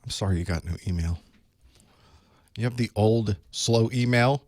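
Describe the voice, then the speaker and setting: A man with a hoarse voice from a cold, chatting casually to his live stream audience while he sets up for an online class. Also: lowish voice, normal speed, darkish tone, no echo, clean studio sound. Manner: chatty, wry, talks to himself while setting up. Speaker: online course instructor. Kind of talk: casual pre-show chat to a live stream. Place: small studio desk.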